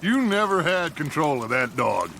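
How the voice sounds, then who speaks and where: An actor playing a jovial, teasing middle-aged American outlaw gang leader in a video game, with a gravelly, theatrical, drawn-out delivery. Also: male voice, on the high side, sing-song, pushed and bright, exaggerated voice. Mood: amused teasing. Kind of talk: video game cutscene acting. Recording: outdoor water and boat noise. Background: water and boat sounds.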